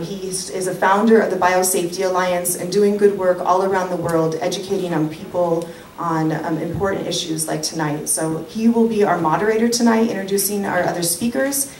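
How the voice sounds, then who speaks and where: A woman in her forties giving an informal public speech as a community activist at a lectern microphone. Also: lowish voice. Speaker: community activist introducing a speaker. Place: wood-panelled community hall, at a lectern. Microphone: handheld-type mic on stand. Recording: echoey hall, distant mic, faint noise.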